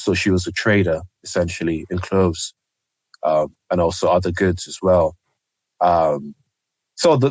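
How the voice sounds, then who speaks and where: A young man from Nigeria, a journalist guest, talking reflectively in an interview with frequent pauses and ums. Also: low voice, no room sound, low-quality recording. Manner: hesitant, many ums, soft-spoken.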